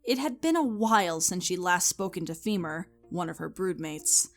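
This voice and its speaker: A woman's voice: fairly low pitch, animated, normal speed, faint music behind. A woman reading scripted story narration in a dramatic, storytelling style.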